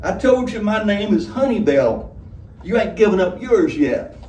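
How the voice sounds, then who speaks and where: Storybook tavern madam, male reader: high, loud, flirtatious and rough-spoken, heard across a roomy space. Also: big pitch swings, normal speed. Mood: coaxing, flirtatious. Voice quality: harsh, grinding. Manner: rustic colloquial speech, wheedling. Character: brash bellowing tavern madam, flirtatious and coarse. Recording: roomy, distant mic, background noise.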